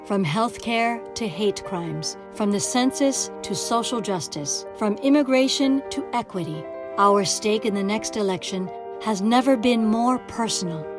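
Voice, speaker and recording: A woman reading an emphatic, scripted narration that builds to louder declarations. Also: medium pitch, lively intonation, unhurried. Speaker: narrator of a promo video. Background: music.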